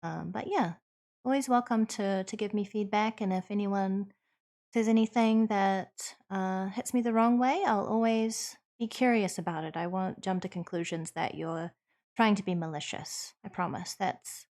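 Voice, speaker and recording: A woman's voice: mid-range voice, normal speed, a little mellow. Earnest New Zealand woman streamer. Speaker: livestream host. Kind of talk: conversational livestream Q&A, partly reading chat aloud.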